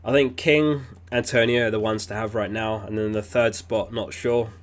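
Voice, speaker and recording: A man hosting a casual livestream, reading viewer messages aloud in a relaxed, chatty way. He is sniffly and keeps clearing his throat. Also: fairly low pitch, normal speed. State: sniffly, getting over an illness. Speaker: fantasy football livestream host.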